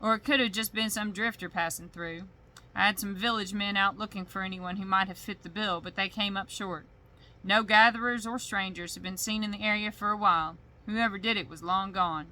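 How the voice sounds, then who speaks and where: A woman doing a gruff, indignant male sheriff. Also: medium pitch, steady tone, a little fast, lightly acted, rough recording. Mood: dismissive. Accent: put-on thick rural drawl. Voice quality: loud, indignant, rising when challenged. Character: gruff male village sheriff, defensive and overworked. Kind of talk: bedtime story reading.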